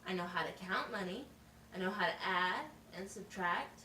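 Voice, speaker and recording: A woman's voice: medium pitch, normal speed, sharp tone, far from the mic, voice pushed down, close to an everyday voice. A woman plays an earnest young schoolgirl in a puppet show. She answers simply and a little hesitantly. The sound is roomy and set back from the mic, with faint noise. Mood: earnest, unsure. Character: schoolgirl puppet named Rose, earnest and brief.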